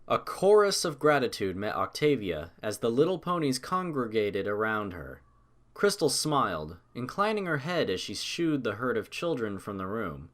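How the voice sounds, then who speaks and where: A man reads a scripted story as its narrator. His voice is medium in pitch and moves up and down in a very animated way. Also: moderate pace.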